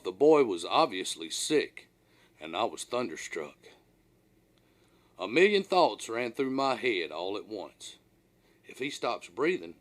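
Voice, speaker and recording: Man narrating with very animated, swooping pitch. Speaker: author reading own audiobook. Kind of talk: scripted first-person storytelling narration.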